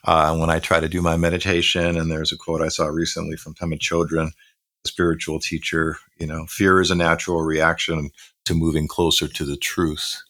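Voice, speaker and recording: Deep, bright-voiced earnest man. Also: dry recording. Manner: earnest, measured, with frequent fillers and restarts. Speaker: podcast co-host. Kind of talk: reflective podcast conversation.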